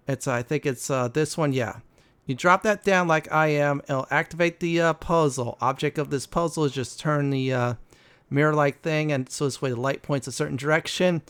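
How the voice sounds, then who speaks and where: A man hosting a casual video game walkthrough, often pausing mid-sentence before key words. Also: on the high side, fairly bright tone, smooth. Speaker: gaming video host.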